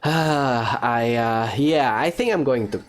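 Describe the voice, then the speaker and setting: A man's voice: mid-range voice, takes it slowly. A man hosting a let's-play, giving informal gaming commentary and thinking aloud. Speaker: gaming video host. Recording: faint background noise.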